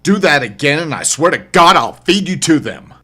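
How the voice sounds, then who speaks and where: A man voicing a hard-bitten, short-tempered male survivor in an audiobook, husky and barking out commands. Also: a little high, sing-song, normal speed, pushed and bright, voice pushed up, full character voice. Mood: menacing, furious.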